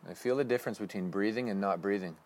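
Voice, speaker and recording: A man leading a guided breathing meditation with slow, calm, instructive cues. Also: on the low side.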